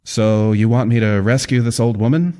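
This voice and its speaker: A man's voice: mid-range voice, moderate pace, warm tone, lightly acted. Kyle, a man doing a bewildered young writer.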